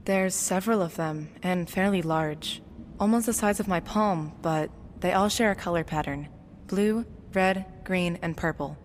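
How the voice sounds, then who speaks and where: A woman playing a practical, level-headed young explorer in an audio drama, matter-of-fact and measured, in a reverberant space. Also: on the low side, close to an everyday voice. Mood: observant. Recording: long cave-like reverb, faint noise.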